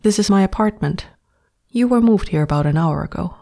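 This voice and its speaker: A woman's voice: fairly low pitch, animated, a little fast, vocal fry, warm tone, voice pushed up. A woman voicing a cheerful, bossy young woman who talks sweetly and patronizingly to her new pet. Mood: bright, condescending.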